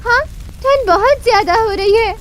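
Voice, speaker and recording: A woman's voice: very high voice, normal speed, pitched above the performer's own voice. A woman voicing a caring, worried village wife in a cartoon, tender and concerned. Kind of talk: Hindi moral-story cartoon dialogue. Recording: loud music behind the voice.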